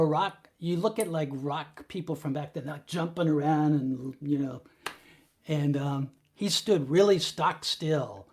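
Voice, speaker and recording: A man's voice: fairly high pitch, darkish tone. Enthusiastic man in his sixties reminiscing. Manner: enthusiastic, loose, full of "like" and "you know". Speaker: co-host and guitarist. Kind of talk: casual reminiscing chat.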